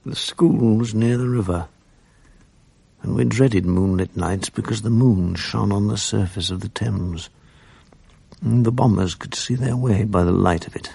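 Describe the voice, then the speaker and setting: A man's voice: lowish voice, vocal fry, dark tone, breathy edge. A middle-aged British man narrating his own memories in a reflective, wry tone for a radio drama. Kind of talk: scripted first-person narration in a radio drama.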